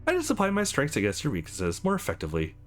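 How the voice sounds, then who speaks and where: Tough female fighter played by a man, clipped and mocking, formal edge, lively swinging intonation, music behind. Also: on the high side, fast. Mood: coolly formal. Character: tough, taunting female martial arts sparring partner, coolly formal.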